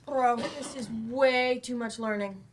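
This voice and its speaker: A woman's voice: fairly high pitch, a little slow, slight background noise, lightly acted. A woman acting in a staged play reading as an eager, blunt adult student with a casual, slangy, questioning way of talking. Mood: reluctant.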